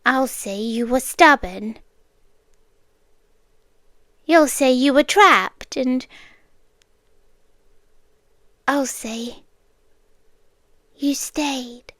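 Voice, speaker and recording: A British woman playing an obsessive, gently menacing girlfriend in an intimate ASMR roleplay, speaking in a hushed, deliberate way that often slips into whispers, close to the microphone. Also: sits high, no room sound, exaggerated voice. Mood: wistful, unsettling. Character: soft-spoken obsessive yandere girlfriend, gently menacing captor. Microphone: close microphone, dry.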